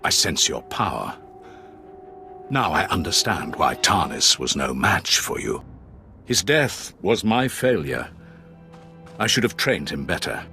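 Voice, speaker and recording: Deep solemn sith warlord, honorable villain, formal video game lines, loud score behind him. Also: male voice, sing-song, unhurried, gravelly, pauses often, faint music behind, full character voice. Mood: stern, resolute. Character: grave, honor-bound Sith warrior lord, a male villain. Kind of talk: dramatic video game acting.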